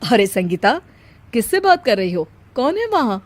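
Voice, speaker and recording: A woman's voice: a little high, big pitch swings, moderate pace. A woman voicing a young husband, incredulous and lightly bantering, in a comic story. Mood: puzzled. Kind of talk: comic story character acting.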